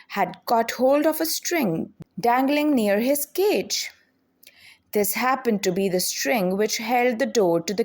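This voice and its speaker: A woman storyteller reading a children's picture book aloud in an expressive, deliberate way. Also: on the high side.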